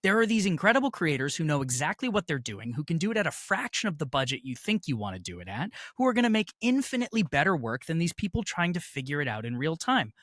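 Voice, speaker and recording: A man's voice: a little high, very animated, fast talker, hardly any pauses, a bit bright, no echo, studio quality. A man being interviewed, talking in an articulate, persuasive and emphatic conversational way. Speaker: interview guest, festival organizer and actual play producer.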